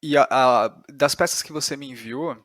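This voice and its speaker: A man's voice: mid-range voice, moderate pace, a bit bright, no echo. Brazilian man interviewing, close dry sound. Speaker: podcast interviewer. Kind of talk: casual interview conversation.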